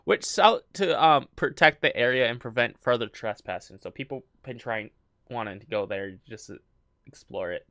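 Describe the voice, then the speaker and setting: A man's voice: mid-range voice, moderate pace, bright, forceful tone, no room sound. A man co-hosting a casual podcast, reading out an account, stumbling over words and laughing often. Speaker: podcast co-host. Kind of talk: casual chat, reading a story.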